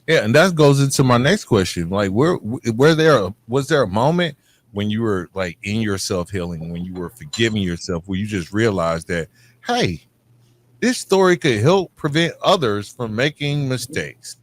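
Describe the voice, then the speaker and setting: A man's voice: lowish voice, expressive, normal speed. Casual American man hosting a podcast. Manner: informal, colloquial. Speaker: podcast host. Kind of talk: casual conversational interviewing.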